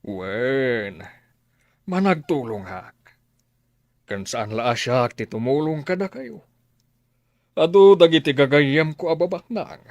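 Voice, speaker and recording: Slow, kindly rich benefactor. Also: male voice, mid-range voice, expressive, no echo, clean studio sound. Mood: benevolent, advising. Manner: deliberate, emphatic, benevolent. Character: kindly, wealthy male benefactor, pious and generous. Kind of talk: Ilocano radio drama acting.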